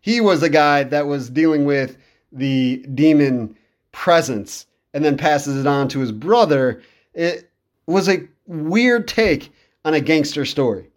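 Higher-voiced man chatting solo. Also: lively intonation, unhurried. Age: 30s. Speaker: podcast host. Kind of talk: casual solo commentary on films and shows.

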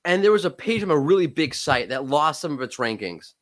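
A man's voice: fairly high pitch, sing-song. A man in his late thirties with a cold and a cracking voice, talking emphatically as an expert guest in a casual discussion. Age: 30s. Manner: emphatic, quick, frequent self-restarts. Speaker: podcast guest, SEO expert.

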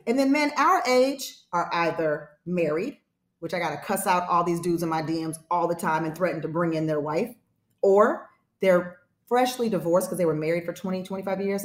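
A woman's voice: fairly low pitch, normal speed, roomy sound. A woman in her forties talking frankly and emphatically in a casual chat. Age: 40s.

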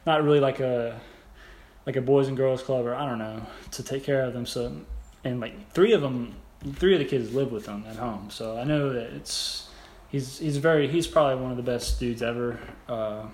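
A young adult man co-hosting a podcast in casual, halting, rambling, informal talk. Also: medium pitch, darkish tone. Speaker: podcast co-host. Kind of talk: casual conversational podcast chat. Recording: echoey room, background noise.

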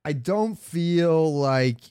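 A man chatting as a gaming podcast host, his voice a bit higher than average. He is opinionated and swears freely as he rambles, and the sound is very dry, with no room to it. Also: lively intonation, extremely slow, dark tone, smooth, dry recording. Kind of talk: casual gaming chat.

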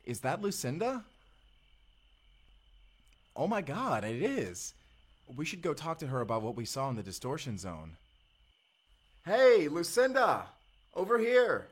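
A man's voice: fairly high pitch, huge pitch swings, measured pace, pauses often, breathy edge, heavily acted. A man playing an earnest, affectionate young male time traveler, speaking to the listener in an audio roleplay. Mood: excited. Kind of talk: first-person audio drama dialogue, spoken to the listener.

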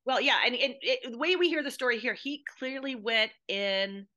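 A woman from the United States, an expressive podcast guest discussing drama conversationally and with emphasis. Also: on the high side, normal speed.

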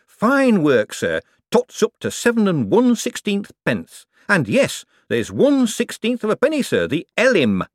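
A man's voice: high voice, wildly swooping pitch, unhurried, dry recording, studio quality, pitched above the performer's own voice, full character voice. Figure: a man doing a sly old foreman. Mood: wheedling. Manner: broad dialect grammar, keeps saying sir. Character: sly working-class older male mint foreman.

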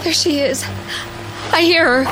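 A woman's voice: extremely high pitch, a little slow, background noise. An actress in a radio drama playing a distraught mother desperate for her lost little girl. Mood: anxious. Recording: radio drama with music behind.

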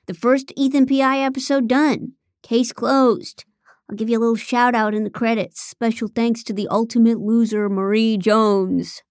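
A woman's voice: mid-range voice, steady tone, moderate pace, mellow, clear, resonant tone, no room sound, clean studio sound, natural voice. A woman voicing a smug, goading male extortionist in an audiobook, with a taunting manner.

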